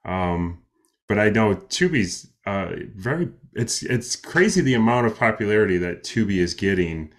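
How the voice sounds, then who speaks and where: A man's voice: on the low side, animated, moderate pace. A man hosting an interview, asking questions casually with stammers and restarts. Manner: stammering, laughs easily. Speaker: interview host.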